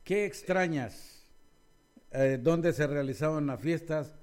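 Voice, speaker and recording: A man's voice: on the high side, takes it slowly, a little mellow. A man hosting a local radio show, chatting in a friendly, teasing way with the people he talks to. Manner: friendly, teasing, calls guests profe and hermano. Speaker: radio host. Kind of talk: casual radio interview.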